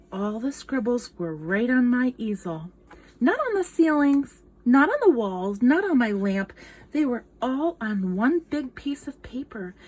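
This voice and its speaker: A woman reading a children's picture book aloud in an expressive, dramatic storytelling manner. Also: on the high side, poor audio. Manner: expressive, dramatic, with gasps and whispered asides.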